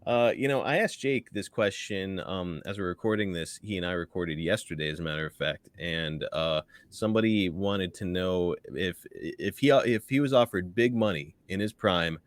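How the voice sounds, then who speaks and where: A man with a low voice co-hosts a casual podcast and reads listener questions aloud. He laughs easily, and the sound is dry and close. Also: normal speed, few pauses, no echo.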